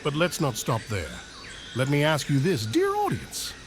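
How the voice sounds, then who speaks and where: A man's voice: a little high, wildly swooping pitch, measured pace, music in the background, exaggerated voice. A man voicing a smug male narrator who mocks the audience with rhetorical questions. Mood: mocking. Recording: crickets chirping behind.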